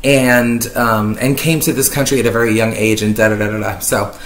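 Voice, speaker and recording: A woman's voice: rumbling low, steady tone, fairly quick, slight background noise. Chatty woman vlogger in an echoey room. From Lebanon. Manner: chatty, wordy, dryly ironic, lots of fillers. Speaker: YouTuber. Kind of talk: casual commentary vlog. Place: living room, on a sofa. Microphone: webcam at desk distance.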